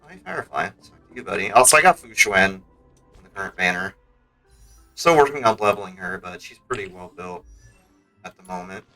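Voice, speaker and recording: Male game streamer, lowish bright voice, loose rambling delivery, faint music behind. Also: lively intonation, a little fast, low-quality recording. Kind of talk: casual livestream gaming chat.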